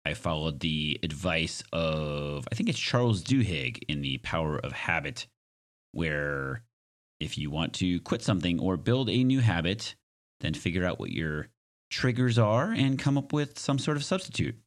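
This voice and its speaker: Male solo podcaster, low-pitched and smoky with slight hoarseness; conversational, reflective, self-deprecating. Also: big pitch swings, a little slow. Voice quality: raspy, smoky. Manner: self-deprecating, joking asides. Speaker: podcast host.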